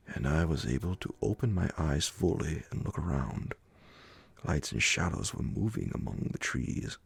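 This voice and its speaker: Slow, gravelly, very deep male horror narrator. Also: dry recording. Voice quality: gravelly, breathy, often sinking toward a whisper. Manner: dramatic, drawn-out phrasing with many pauses. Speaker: narrator reading a classic horror story aloud. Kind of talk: slow ASMR bedtime audiobook narration.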